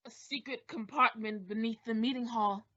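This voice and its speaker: High-voiced woman playing a sly male villain. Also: steady tone, moderate pace, pushed and bright. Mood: gloating menace. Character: sly villainous man posing as polite, short-sighted helper. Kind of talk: old-time radio thriller acting. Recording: dull lo-fi audio.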